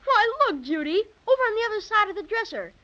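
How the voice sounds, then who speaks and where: A woman's voice: very high-pitched, sing-song, fast, bright, forceful tone, full character voice. A woman playing an eager, wide-eyed little boy in a 1930s radio adventure play. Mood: amazed. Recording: muffled 1930s radio recording.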